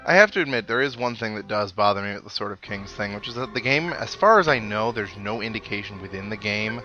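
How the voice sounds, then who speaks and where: A man's voice: on the low side, lively intonation, fast talker, sharp tone, highs cut off. A man giving casual, rambling let's play commentary, thinking aloud as he plays. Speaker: gaming host. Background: video game music.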